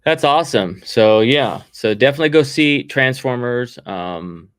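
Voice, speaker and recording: A man hosting a casual sci-fi review podcast, talking loudly and upbeat, projecting his voice. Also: mid-range voice, animated, normal speed, smooth, no echo, clean studio sound. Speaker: podcast host.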